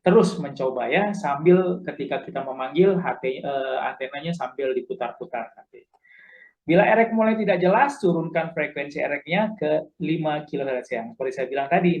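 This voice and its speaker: A man giving an informal, instructive tutorial, halting at times and repeating key points. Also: mid-range voice, muffled recording. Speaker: amateur radio instructor.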